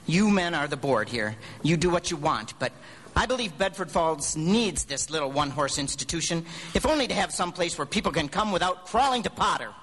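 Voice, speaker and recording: A man's voice: fairly high pitch, animated, fairly quick, a bit bright, big-room sound, rough recording. An actor playing an earnest, hot-blooded young small-town American man in a live radio drama, folksy and stammering when flustered. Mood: indignant, impassioned. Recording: live stage radio broadcast.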